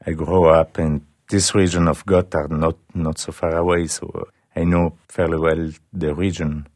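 Deep-voiced man. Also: level tone, measured pace, darkish tone, no room sound, clean studio sound. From southern Switzerland. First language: Italian. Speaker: guest, journalist. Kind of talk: casual interview answers. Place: studio.

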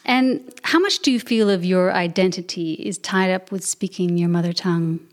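A woman radio host introducing a report and interviewing a guest, with brisk, conversational questions. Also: lowish voice.

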